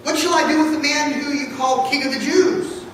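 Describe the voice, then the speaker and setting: An exasperated Roman governor acted out by a man reading scripture sounds distant in an echoing hall. He puts challenging questions in a high, emphatic voice. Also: steady tone, brisk. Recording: echoey hall from far off, noisy.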